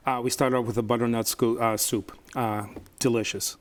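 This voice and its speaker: A man's voice: mid-range voice, level tone, moderate pace. A man who is a surgeon, chatting as a guest about a restaurant, telling it as a story with frequent fillers. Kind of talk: casual chat.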